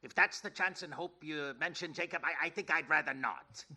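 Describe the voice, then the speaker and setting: A man's voice: a little high, brisk, sharp tone, heavily acted. An actor playing a skeptical, miserly, easily frightened old businessman in a theatrical 1930s radio play style. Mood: reluctant, querulous. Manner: theatrical, querulous.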